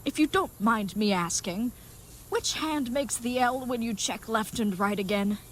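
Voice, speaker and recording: A woman's voice: on the high side, measured pace, slight background noise, lightly acted. A woman playing an earnest, good-hearted but dim-witted knightly leathersmith in a comedic audio drama, speaking in florid, mock-archaic phrases. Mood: grateful, courteous.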